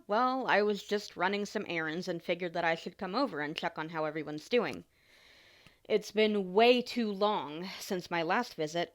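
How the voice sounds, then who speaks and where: A woman's voice: lowish voice, natural voice. A woman playing a caring, teasing young adult older sister in an ASMR roleplay, talking casually and informally straight to the listener. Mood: playful, casual. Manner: playful, informal, sighs and small laughs.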